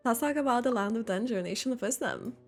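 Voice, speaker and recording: A woman's voice: fairly high pitch, soft background music. A woman streamer giving casual, chatty reaction commentary on a game, drawn out and full of "like". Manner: chatty, drawn-out, uses "like" a lot.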